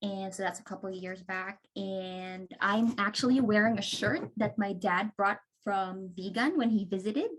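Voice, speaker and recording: A woman's voice: medium pitch, normal speed, hardly any pauses, a little hiss or hum, rough recording. A woman from the Philippines, introducing herself in a friendly, explaining way on a video call. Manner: friendly, explaining, light laughs. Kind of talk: casual video call chat.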